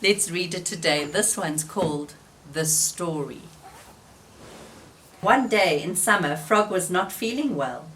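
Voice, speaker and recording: A woman reading a children's story aloud in expressive storybook narration, recorded slightly away from the microphone. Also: fairly low pitch, slow, a little hiss or hum.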